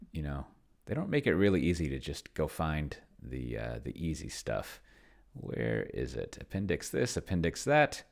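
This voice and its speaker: Male, extremely low voice. Casual livestream host, rambling and self-deprecating. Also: gravelly. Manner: offhand, self-deprecating, trails off and corrects himself mid-thought. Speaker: livestreamer demonstrating solo tabletop roleplaying with an AI.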